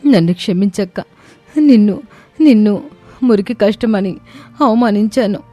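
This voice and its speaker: Woman playing a mellow young village wife. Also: medium pitch, expressive, measured pace, faint music behind. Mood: remorseful, pleading. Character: humbled, emotional young village daughter-in-law. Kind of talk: storybook dialogue.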